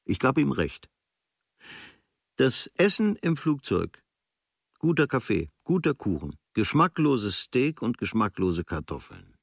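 A man reading a travel diary as scripted literary radio narration, in measured, observational short phrases. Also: mid-range voice, big pitch swings, gravelly, breathy edge, dry recording, clean studio sound. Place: studio.

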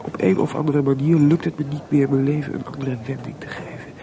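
An actor playing a melancholy, restless composer in a Dutch radio play, hesitant and searching for words, with theatrical delivery. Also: male voice, a little high, fairly even intonation, brisk, creaky, warm tone. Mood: despondent, searching. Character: Mozart, restless, melancholy composer, proud but adrift.

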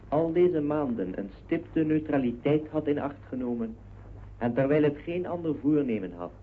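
Solemn proclamation reader, crackly radio. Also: male voice, on the high side. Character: solemn official reader of a royal wartime proclamation. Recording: noisy, narrow-band old radio recording.

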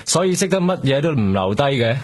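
A man's voice: medium pitch, big pitch swings, brisk. A man with a Hong Kong Cantonese accent playing a matter-of-fact police detective in a radio drama. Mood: frustrated. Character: male police detective recounting cases, matter-of-fact colleague. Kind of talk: radio drama acting, naturalistic dialogue. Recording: music bed under the voice.

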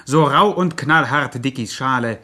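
An actor playing a lofty, declamatory, mock-scholarly narrator in a satirical gangster radio play. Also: male voice, mid-range voice, a little slow, bright, forceful tone. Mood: lofty irony.

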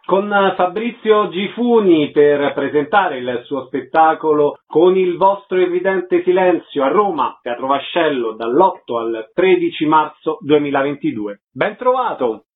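A man's voice: fairly high pitch, expressive, measured pace. A man hosting a radio show, presenting and interviewing guests in a scripted style. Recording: thin phone-like radio sound.

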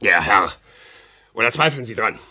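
A man's voice: fairly low pitch, expressive, normal speed, sharp tone, exaggerated voice. A man playing a self-righteous, belligerent bully in a radio drama, speaking with sarcastic scorn. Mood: scornful. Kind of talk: old German radio drama acting.

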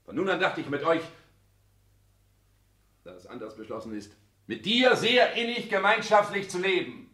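A socially ambitious grown son with formal, measured phrasing. His pitch moves in lively arcs, and the room around him echoes. It is stilted acting from a 1960s German radio drama. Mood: earnest. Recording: roomy, echoing 1960s radio studio recording.

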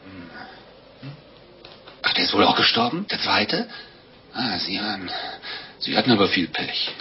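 A man's voice: deep voice, very animated, measured pace. An actor in a 1950s German radio drama playing a naive, horrified priest, hesitant and exclaiming as he hears a confession. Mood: innocent, puzzled. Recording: dull, noisy old radio recording.

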